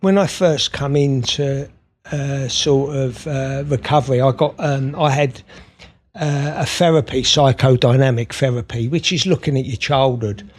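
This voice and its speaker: Male podcast guest, mid-pitched, open and meandering, stop-start delivery. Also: unhurried. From Scotland. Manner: rambling, open, many fillers and restarts. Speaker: podcast guest, therapist and author. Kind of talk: conversational interview.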